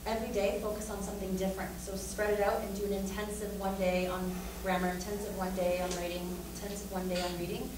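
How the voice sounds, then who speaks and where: A woman presenting at a teaching conference talks through her classroom methods in a casual, encouraging tone. Her voice carries from a distance across a very reverberant lecture hall. Steady background noise sits underneath. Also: medium pitch, fast talker, few pauses. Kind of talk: answering audience questions.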